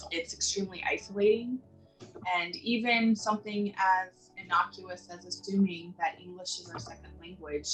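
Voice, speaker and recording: A woman from Southern California giving an earnest, measured personal testimony for a community video. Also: fairly low pitch, fairly bright tone, far from the mic, poor audio. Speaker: community member sharing experiences.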